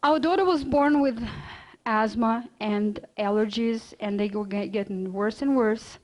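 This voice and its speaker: A middle-aged woman from Russia with a Russian accent, giving an earnest personal lecture with quick bursts, fillers and restarts. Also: on the high side, low-quality recording. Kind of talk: storytelling lecture.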